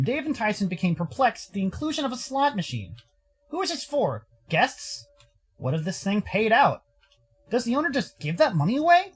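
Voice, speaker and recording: An American man from Arizona giving theatrical, expressive narration of a visual novel as a storyteller. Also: sits high, slightly rough. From Arizona, United States. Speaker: narrator and streamer.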